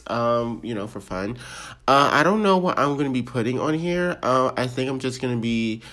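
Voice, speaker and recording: A man's voice: mid-range voice, expressive, moderate pace, fairly bright tone. Rambling, giggly nineteen-year-old guy. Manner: rambling, self-deprecating, lots of ums and giggles. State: sick, voice a bit off. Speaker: podcast host. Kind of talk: casual solo podcast intro.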